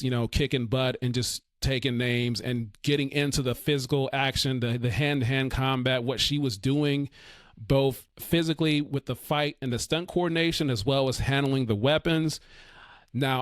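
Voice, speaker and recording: A male podcast host talks through a film review in a loose, rambling way, leaning on fillers and restarting his sentences. His voice sits in a middle register and is starting to go hoarse. The sound is dry and close, with no room echo. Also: medium pitch. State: losing his voice. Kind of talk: casual solo film review.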